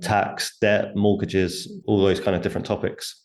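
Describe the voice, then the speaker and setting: A man's voice: fairly low pitch, level tone, normal speed. A man speaking as an interview guest, explaining things conversationally step by step and voicing imagined quotes as he goes. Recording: roomy, echoing sound.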